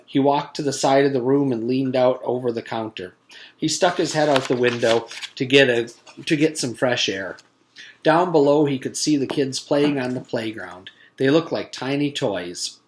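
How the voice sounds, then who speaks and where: A man's voice: mid-range voice, normal speed, low-quality recording. A man, a teacher, reading a storybook aloud.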